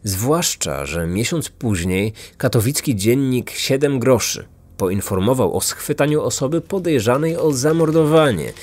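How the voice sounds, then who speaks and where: A man's voice: mid-range voice, lively intonation, measured pace, hardly any pauses, a little mellow. A man narrating a scripted true-crime podcast as its host, in a grave storytelling manner.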